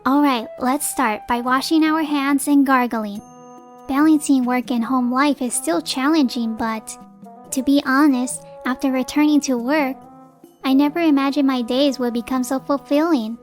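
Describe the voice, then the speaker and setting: A high, youthful wife's voice acted by a woman tells her story in a manga dub with faint music behind it. She is composed but exasperated, blunt and determined to stand on her own. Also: normal speed, lightly acted. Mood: composed, tired. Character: fed-up young working wife and mother, determined, blunt. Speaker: narrator and lead character. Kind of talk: manga dub, narration and dialogue.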